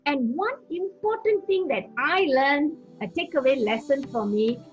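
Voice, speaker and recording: Earnest high-voiced woman evangelist from Singapore. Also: expressive, measured pace, muffled recording. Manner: earnest, repeats phrases for emphasis. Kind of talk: teaching testimony.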